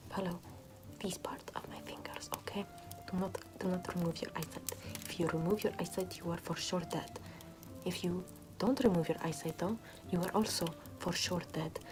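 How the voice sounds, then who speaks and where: A woman playing a callous, morbid medieval nun in ASMR roleplay, murmuring in a soothing, repetitive deadpan. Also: fairly low pitch, moderate pace, close to an everyday voice. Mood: deadpan insistent. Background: music.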